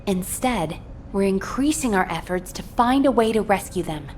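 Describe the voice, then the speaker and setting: A woman's voice: medium pitch, lively intonation, moderate pace, a little mellow, slight background noise, close to an everyday voice. Female game officer, played by an actress: mid-range voice, professional and official, debriefing over faint background ambience. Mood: resolute, official. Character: businesslike female sci-fi headquarters officer, reassuring but official. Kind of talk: scripted game dialogue, mission debrief.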